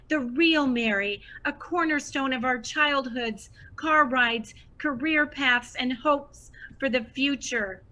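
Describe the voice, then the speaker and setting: A woman's voice: sits high, pushed and bright, full character voice, low-quality recording. A woman playing a giddy, starstruck, gushing female superfan in a scripted audio drama. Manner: gushing, squealing.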